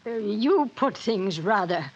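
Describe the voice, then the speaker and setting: Stern, husky schoolteacher. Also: female voice, mid-range voice, expressive, takes it slowly, darkish tone, highs cut off. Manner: curt, reproachful. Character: stern, skeptical former schoolteacher woman. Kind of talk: 1940s radio acting.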